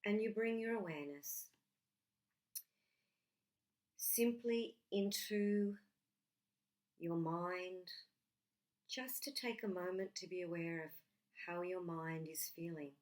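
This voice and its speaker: A woman leading a guided relaxation meditation in a gentle, soothing way, leaving long pauses between short phrases. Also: fairly low pitch.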